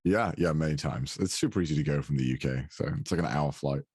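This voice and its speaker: A man's voice: extremely low pitch, quick pace, a little mellow, husky, no room sound, clean studio sound. A young man from North Wales, chatting as a podcast guest, laughing often and swearing casually.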